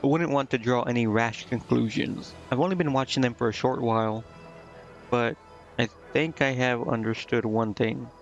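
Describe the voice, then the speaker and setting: A man voicing an earnest, naive female succubus from a video game, musing and halting as he reads her lines. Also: mid-range voice, muffled recording, faint music behind, close to an everyday voice. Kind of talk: reading video game dialogue aloud.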